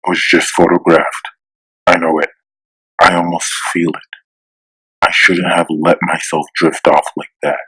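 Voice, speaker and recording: A man voicing a stern, steadfast superhero with a firm, measured delivery in a comic-book drama. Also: sits low, flat delivery, sharp tone, slightly rough, full character voice, rough recording. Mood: uneasy, brooding. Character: stern, righteous male superhero, steadfast and unafraid.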